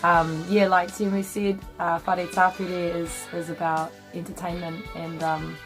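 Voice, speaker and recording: A woman's voice: fairly low pitch, flat delivery, unhurried, faint music behind, low-quality recording. A woman, easygoing and a bit hesitant, introducing herself from a small bedroom at home. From New Zealand. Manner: easygoing, hesitant, many fillers. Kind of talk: casual self-introduction.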